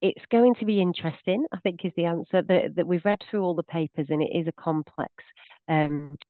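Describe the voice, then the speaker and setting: A woman's voice: fairly low pitch, fairly quick, no echo. Woman with a cold on a tinny call. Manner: chatty, self-deprecating, restarts often. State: has a cold. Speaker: solicitor. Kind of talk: webinar Q&A explanation. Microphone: video call. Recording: dry tinny video call audio.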